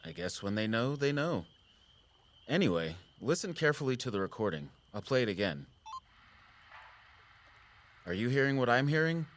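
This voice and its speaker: An actor playing a concerned, patient outdoorsman in a naturalistic audio drama, measured and gently frank. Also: mid-range voice, big pitch swings, slight background noise. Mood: intent, explanatory. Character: Jordan, concerned, patient outdoorsman who watches the woods.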